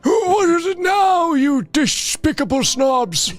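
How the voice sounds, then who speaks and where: Woman doing a loud, scornful old wizard headmaster. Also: high voice, sing-song, takes it slowly, soft background music, full character voice. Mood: scornful anger. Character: angry, mean, dismissive old male wizard headmaster.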